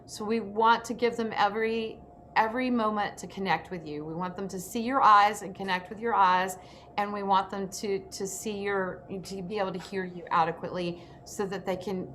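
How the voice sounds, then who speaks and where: A woman's voice: medium pitch, fairly even intonation, normal speed, a bit bright, a little hiss or hum. A woman hosting a workshop, explaining her advice emphatically as a coach in a live online session. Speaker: panel host and acting coach.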